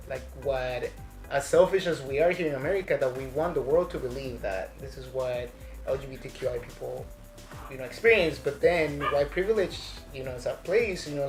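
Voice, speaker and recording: A man from Mexico, talking passionately in a casual conversation, often asking "right?" to press his points. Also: mid-range voice. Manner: passionate, emphatic, says "right?" and "girl". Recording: echoey room with music behind.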